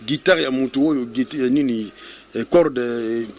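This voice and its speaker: A man with a Congolese accent chatting casually as an interview guest in French and Lingala, halting and repeating words. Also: mid-range voice, slight background noise. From Kongo Central, DR Congo.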